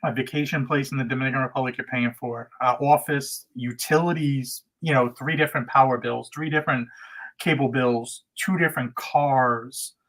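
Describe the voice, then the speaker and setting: A man's voice: mid-range voice, fairly even intonation, normal speed, fairly bright tone, rough recording. A man from the United States, a guest on a casual livestream, explaining things in a meandering way with many restarts. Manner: meandering, full of restarts and hedges.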